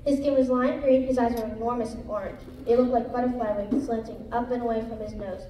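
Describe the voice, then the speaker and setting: A woman's voice: fairly high pitch, steady tone, fairly quick, dark tone. A female student narrator reading a scripted story aloud in a reader's theater show. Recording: echoey room, distant mic, background noise.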